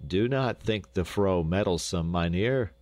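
A man's voice: low voice, measured pace, darkish tone, no echo. A man voicing a plain-spoken, hearty peasant father in a storybook, using old-fashioned rustic speech. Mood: earnest.

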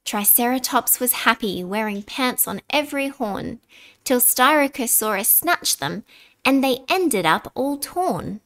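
A woman reading a children's picture book aloud in an expressive, deliberate way, dramatic on key words. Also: fairly high pitch. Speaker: storybook reader.

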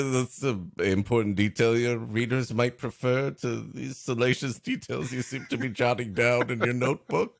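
A man's voice: medium pitch, dry recording, studio quality. A man co-hosting a comedy podcast, riffing casually with joking interjections.

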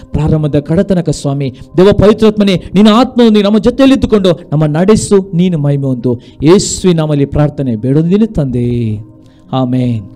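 Indian guy pastor preaching n praying, pretty high mellow voice, really fervent, music behind him. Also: lively intonation, normal speed. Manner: fervent, exhorting, repetitive build-up. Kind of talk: sermon and prayer in a church service. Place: dark studio stage with coloured lighting. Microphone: handheld microphone held at chest to mouth.

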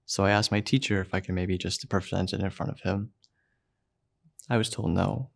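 Soft, halting young man. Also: sits low, fairly even intonation, creaky. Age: young adult. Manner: halting, soft-spoken, with pauses and fillers. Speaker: student filmmaker narrating his own story. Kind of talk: personal narration for a short film.

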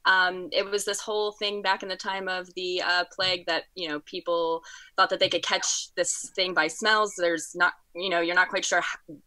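A young woman explains casually and a little hesitantly as a student guest. Her voice is bright and slightly low, with a dry, close sound. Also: flat delivery, moderate pace, dry recording, poor audio. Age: young adult. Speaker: student performer being interviewed. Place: bedroom, indoors, on a video call.

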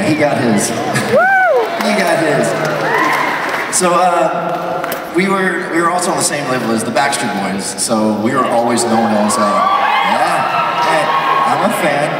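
A man's voice: on the low side, background noise. A young man from Mississippi with a Southern accent, giving a casual, joking storytelling talk as a guest speaker, full of fillers. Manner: chatty, joking, many fillers. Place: large lecture hall with audience. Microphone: handheld mic, filmed from far in the crowd. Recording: echoey hall taped from far off. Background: audience murmur and laughter.

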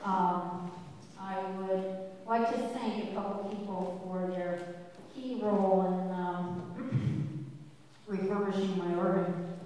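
A woman's voice: medium pitch, fairly even intonation, warm tone, a little hiss or hum. Older woman in an echoey church. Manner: chatty, wry, unhurried with many ums. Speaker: church organist. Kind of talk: speech to a church audience. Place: large church sanctuary with balcony. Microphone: handheld mic. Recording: echoey church hall, distant camera.

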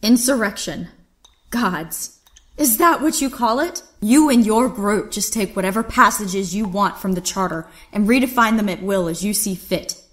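A woman's voice: mid-range voice, moderate pace, fairly bright tone, close to an everyday voice. A woman playing a defiant, righteous disgraced captain with impassioned, theatrical indignation in an audio drama. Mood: bitter, accusing.

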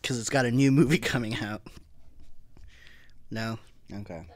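A man's voice: medium pitch, unhurried. A man co-hosting a podcast in casual chat, joking, rambling and swearing now and then. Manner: jokey, wandering, swears casually. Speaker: podcast co-host.

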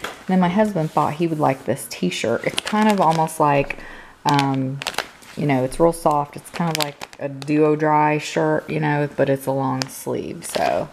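A woman vlogger chatting casually about her groceries at her kitchen counter, slightly hoarse from a cold, rambling with many ums. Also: deep voice, unhurried, background noise. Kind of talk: casual grocery haul chat. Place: home kitchen, indoors.

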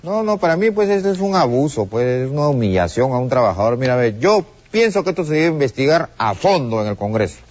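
A man's voice: medium pitch, sing-song, fast talker, few pauses, darkish tone, pitched below the performer's own voice, close to an everyday voice. A man putting on a pompous, opinionated male panelist on a gossip show. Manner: self-important, opinionated. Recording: dull lo-fi recording.

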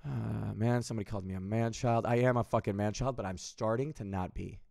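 A man's voice: fairly low pitch, steady tone, fairly quick, creaky, darkish tone, no echo, clean studio sound. A man of thirty streaming from home, rambling in casual chat and swearing freely, with lots of restarts. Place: home room with window, indoors.

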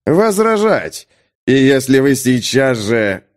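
A man's voice: mid-range voice, very animated, takes it slowly. A man voicing a proud, irritable mage with a dry, formal manner in an audiobook. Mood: threatening. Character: proud, irritable male mage, stiffly polite.

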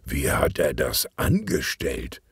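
A man voicing an older, easygoing detective inspector in a children's audiobook, calm and measured. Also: a bass voice, vocal fry, husky, dry recording, clean studio sound, pitched below the performer's own voice, heavily acted. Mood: calm, probing.

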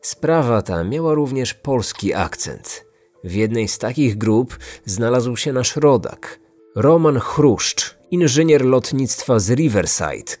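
A man narrating a scripted true-crime podcast in a serious, measured storytelling manner. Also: mid-range voice, a little mellow.

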